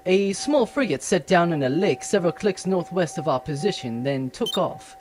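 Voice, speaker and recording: A performer playing a dutiful, dry military commander in a comedic sci-fi audio drama, with a formal, deadpan manner. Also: medium pitch, moderate pace, close to an everyday voice. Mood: formal, reporting.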